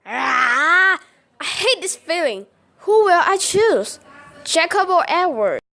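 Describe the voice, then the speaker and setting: A woman's voice: very high-pitched, big pitch swings, takes it slowly, sharp tone, full character voice. A woman playing an emotional young female student torn between two loves in an amateur radio play. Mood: anguished.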